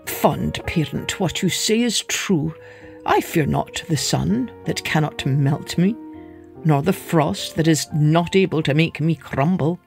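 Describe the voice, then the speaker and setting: A man voicing an ancient, towering stone statue that speaks slowly, solemnly and proudly. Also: on the high side, creaky, faint music behind.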